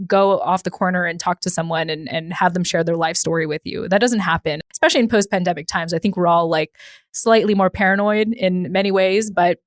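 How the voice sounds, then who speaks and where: A woman's voice: lowish voice, steady tone, a little fast, no echo, clean studio sound. A woman hosting a podcast in a casual, conversational and emphatic way. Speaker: podcast host.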